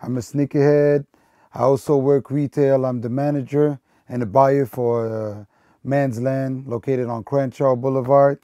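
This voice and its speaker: A man's voice: medium pitch, fairly even intonation, measured pace, dark tone, dry recording, highs cut off. A man in his thirties from Belgium, a sneaker store manager, talking casually in a rambling way with lots of fillers and restarts. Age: 30s. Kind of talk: casual documentary interview.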